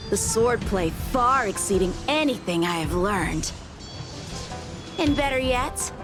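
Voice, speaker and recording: A woman voicing a confident young male sword prodigy in a video game, speaking earnestly and formally with composure. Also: medium pitch. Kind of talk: dramatic video game voice acting. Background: music.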